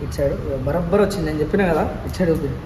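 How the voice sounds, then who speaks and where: A man's voice: on the high side, very fast, reverberant, in a large hall, noisy background. A young man, thinking aloud as he fits a helmet intercom in a casual how-to vlog. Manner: chatty, thinking aloud while working with his hands. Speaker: vlogger installing a helmet intercom.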